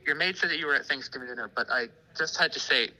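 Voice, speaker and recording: A man playing an excited, gushing department store public relations man in a student radio play. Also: medium pitch, low-quality recording. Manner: hurried, gushing.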